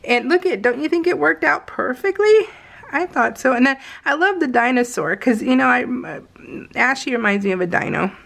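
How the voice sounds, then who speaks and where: Gushy woman doing a chatty craft vlog, kinda high voice w lots of vocal fry, slangy. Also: normal speed. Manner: gushing, slangy, animated storytelling with exclamations. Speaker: craft vlogger. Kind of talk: casual chatty real-time craft video voiceover.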